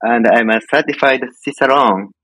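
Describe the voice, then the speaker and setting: A middle-aged man from Japan with a Japanese accent, telling stories casually as an interview guest in halting, informal phrasing. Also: medium pitch, fairly bright tone, no room sound. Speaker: podcast guest, home brewer.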